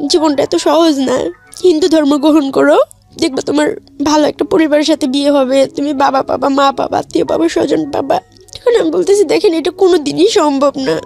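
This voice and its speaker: High-pitched, creaky Bangladeshi woman. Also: darkish tone. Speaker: guest telling her life story. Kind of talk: personal life-story narration in a radio interview.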